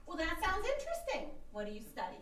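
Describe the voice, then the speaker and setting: A fluttery older schoolteacher voiced by an actress chirps away in a high, lively voice. She is well-meaning and preachy, and easily excited. It sounds like radio comedy on an echoing stage, a little off the mic. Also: quick pace, crowd noise, heavily acted. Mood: sweetly earnest. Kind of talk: old-time radio comedy acting before an audience. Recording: roomy live stage, distant mic.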